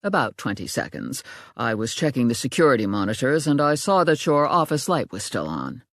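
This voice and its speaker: A woman voicing a brilliant, odd, unflappable man in an audiobook, speaking in a measured, literal, deadpan way. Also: a bass voice, no room sound, studio quality, heavily acted. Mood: calm, deadpan. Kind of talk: audiobook character dialogue.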